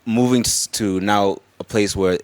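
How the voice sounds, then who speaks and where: A man's voice: on the low side, fairly even intonation, unhurried, smooth, dry recording. A man in his thirties from Morocco, co-hosting a podcast in an easygoing, casual way, with many fillers. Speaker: podcast co-host. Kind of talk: casual chat.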